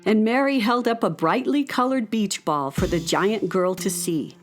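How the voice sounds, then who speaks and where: A woman's voice: mid-range voice, moderate pace, soft background music, close to an everyday voice. A woman narrator reading scripted storytelling narration for a children's radio play.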